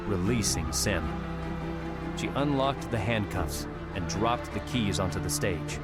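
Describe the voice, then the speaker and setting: A man narrating an audiobook in a steady, measured storytelling voice. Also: fairly low pitch. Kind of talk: scripted narration. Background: music.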